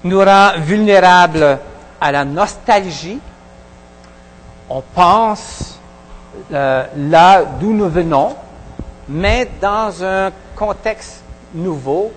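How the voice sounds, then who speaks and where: A pastor with a Québécois French accent preaching a sermon in a halting way, with many pauses and restarts. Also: male voice, high voice, long reverb tail, a little hiss or hum, poor audio. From Quebec. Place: church hall.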